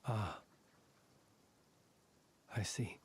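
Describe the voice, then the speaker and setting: An actor playing a grave, courtly soldier in a video game, speaking in formal, measured, deliberate phrases. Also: male voice, a little hiss or hum. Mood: composed, formal. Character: grave, composed, courtly male soldier, quietly vengeful. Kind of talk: scripted video game voice acting. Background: game music.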